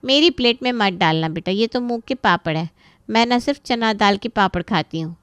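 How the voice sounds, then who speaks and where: Woman acting a fussy, clear-voiced haughty aunt. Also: medium pitch, expressive, brisk, fairly bright tone, no room sound, natural voice. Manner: boastful, nitpicking. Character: fussy, haughty middle-aged visiting aunt, critical guest.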